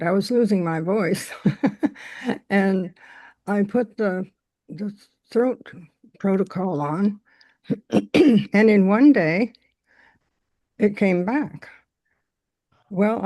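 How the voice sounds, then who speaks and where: A woman calling in to a webinar, speaking slowly and stopping to clear her throat. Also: mid-range voice, dark tone, dry recording. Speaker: caller sharing a testimonial. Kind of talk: casual testimony over a call.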